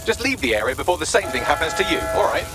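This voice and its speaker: An actor playing a witty, smug AI assistant in a video game, glib and dryly sarcastic. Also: male voice, fairly high pitch, very animated, racing, over loud music. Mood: brisk, wry.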